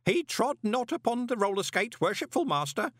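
A man voicing an obsequious, anxious old postman in an audiobook, deferential and folksy, with a hoarse edge. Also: extremely high pitch, sing-song, normal speed, fairly bright tone, no echo, clean studio sound, voice pushed up, full character voice. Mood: formal, eager. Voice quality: hoarse, whispery when urgent. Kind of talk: audiobook character voice.

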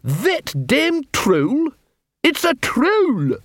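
A man's voice: a little high, very animated, moderate pace, no room sound, studio quality, voice pushed up. A man playing an irate, bullying watch captain in an audiobook, snarling and indignant. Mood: angry, contemptuous.

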